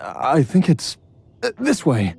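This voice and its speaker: An actor playing an ailing, troubled young man in a video game, speaking haltingly as he searches his memory. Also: fairly high pitch, huge pitch swings, gravelly, soft background music, heavily acted. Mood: uneasy, searching. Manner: hesitant, halting. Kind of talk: dramatic video game acting.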